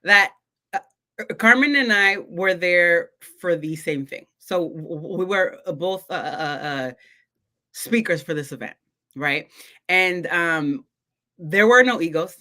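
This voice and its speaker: A woman coach who stutters a lot on first sounds, talking in a relaxed podcast chat. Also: lowish voice, a little slow. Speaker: confidence coach and guest.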